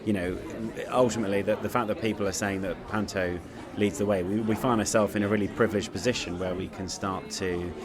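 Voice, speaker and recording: Male interviewee, low voice, halting and informal, busy crowd behind. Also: steady tone. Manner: hesitant, repeats words as he searches. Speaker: event organiser and performer. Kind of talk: casual interview answers.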